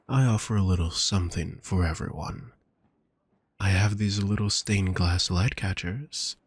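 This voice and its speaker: Man playing a slow, husky, courtly vampire. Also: low voice, no echo, full character voice. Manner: gentle, formal, flowery, teasing endearments. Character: courtly, doting, possessive male vampire shopkeeper. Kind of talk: intimate roleplay audio drama, addressing the listener.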